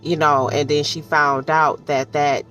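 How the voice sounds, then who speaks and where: A woman giving casual, unscripted commentary in an animated, colloquial way, repeating phrases for emphasis and checking in with the listener. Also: deep voice, unhurried, soft background music. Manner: chatty, often checks in with the listener. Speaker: audiobook reviewer giving personal commentary.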